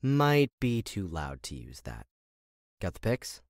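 A man's voice: low-pitched, wildly swooping pitch, slow pace, dry recording, studio quality, exaggerated voice. A man acting a bossy, impatient heist crew leader in an audio drama. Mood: focused, irritated.

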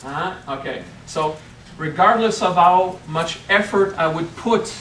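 A man's voice: sits high, measured pace, a bit bright, far from the mic, a little hiss or hum. French-accented man teaching in an echoey room. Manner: playful, keeps checking in with "okay?". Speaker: spiritual teacher. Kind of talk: workshop talk. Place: hotel meeting room. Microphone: far camera.

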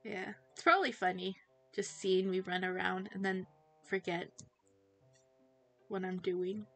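A woman's voice: medium pitch, gravelly, a bit bright, soft background music. Giggly teen girl streaming a game. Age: teens. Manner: chatty, giggly, self-distracted, quick to laugh. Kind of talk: casual livestream chat while gaming.

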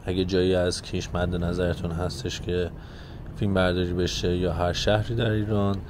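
Male walking-tour host, low register, chatting casually to viewers over clear outdoor street noise. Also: flat delivery. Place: outdoors at night, walking through a car park.

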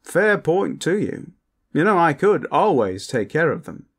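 A man's voice: fairly high pitch, big pitch swings, measured pace, husky, voice pushed up, exaggerated voice. A man voicing a posh, cheeky, lewd and vain vampire with a flamboyant, pompous manner in an audio roleplay. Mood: eager, mischievous.

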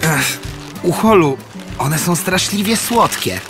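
A man's voice: high-pitched, animated, takes it slowly, creaky, heavily acted. An actor voicing an earnest, polite young male piglet in a cartoon, who also narrates. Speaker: narrator and lead figure. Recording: studio cartoon audio with music behind.

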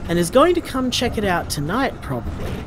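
A man's voice: sits high, sing-song, fairly quick, background noise. An actor playing an affectionate, upbeat young man leaving casual, earnest voicemails in an intimate audio drama. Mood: upbeat, sheepish.

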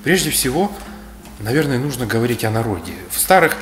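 A man's voice: mid-range voice, animated. Man from Kalmykia lecturing in an echoey room. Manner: measured, lecturing, gesturing with his hands. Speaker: speaker on history. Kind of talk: reflective explanatory talk. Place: bright indoor room. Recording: roomy echo, faint music.

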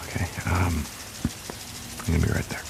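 A man's voice: deep voice, level tone, gravelly, background noise. An actor with an American accent playing a rugged, protective biker in his thirties, hesitant and awkwardly tender, in a video game drama. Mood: hesitant, casual. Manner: hesitant, halting, with ums and restarts. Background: music behind the voice.

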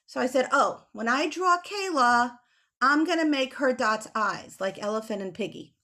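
Middle-aged woman, children's illustrator type, high-pitched, animated kid-directed teaching on a home video call. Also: moderate pace. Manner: animated, simple kid-directed explanations with emphatic words. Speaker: children's author and illustrator leading a drawing lesson. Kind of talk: children's drawing lesson, talking to kids. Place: small home room with bookshelves. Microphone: computer mic on a video call.

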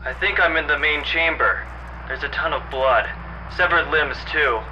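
A man's voice: a little high, moderate pace. A man playing a shaken soldier reporting over a military radio in an audio drama. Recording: dull lo-fi radio with faint noise.

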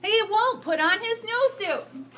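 A woman's voice: very high-pitched, lively intonation, slow pace, a bit bright, pitched above the performer's own voice, exaggerated voice. A woman voicing an exasperated, bossy mother or teacher in a children's storybook, scolding shrilly and emphatically. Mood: exasperated, shrill.

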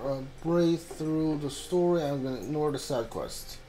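A man giving casual live playthrough commentary as a gaming streamer, haltingly repeating words as he thinks. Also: a little high, warm tone, a little hiss or hum, rough recording. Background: faint game music.

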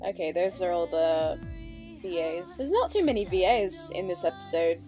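A woman's voice: on the low side, expressive, moderate pace, dark tone. A woman hosting a reaction video, commenting casually as she goes. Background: background music.

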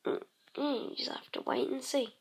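A woman casually narrating her game session, croaky and clearing her throat, rambling with many pauses and humming to herself. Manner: slow, rambling, many ums, hums tunes while playing. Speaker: let's play host. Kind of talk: casual gameplay commentary.

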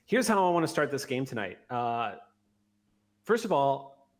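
A middle-aged man with an average-pitched voice narrates a tabletop roleplay game as its game master. He speaks close to the mic and now and then corrects himself as he reads. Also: big pitch swings, measured pace. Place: indoor room, on a group video call. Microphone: microphone on an arm, close to mouth.

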